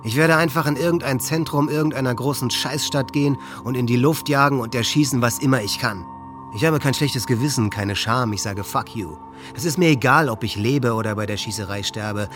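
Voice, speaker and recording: An actor playing a hateful, volatile teenage high-school boy with a contemptuous manner, in a radio documentary drama. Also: brisk, lightly acted. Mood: cold, menacing. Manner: ranting, rhetorical, contemptuous. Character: hateful, volatile teenage male high-school shooter reading his writings. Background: music.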